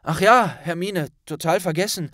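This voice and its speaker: A man's voice: sits high, normal speed, bright, forceful tone, no echo, studio quality, pitched above the performer's own voice. A man playing a blunt, loyal young male wizard friend with a colloquial way of talking in an audiobook. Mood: casual. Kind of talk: audiobook character voice.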